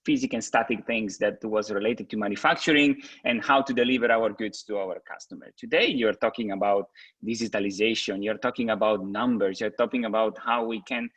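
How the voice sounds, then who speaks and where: A man who grew up in Switzerland speaks from a low register, answering as a guest executive on a video call. He talks haltingly, with fillers and restarts. The sound is dry and close, with no room around it. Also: fairly even intonation, a bit bright, husky, no room sound. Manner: hesitant, many restarts and fillers. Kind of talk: webinar interview answers.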